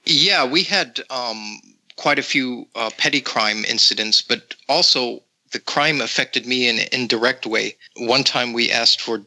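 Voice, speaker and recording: A man from the Netherlands, a guest on a podcast, chatting casually and telling stories with frequent pauses. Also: mid-range voice, low-quality recording. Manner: relaxed storytelling with frequent pauses.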